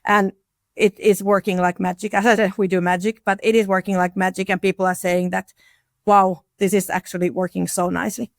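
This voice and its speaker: Self-assured woman with a gravelly edge. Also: mid-range voice, flat delivery, brisk, dry recording, studio quality. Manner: frank, self-assured, frequent restarts. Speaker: conference panelist. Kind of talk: conference panel talk.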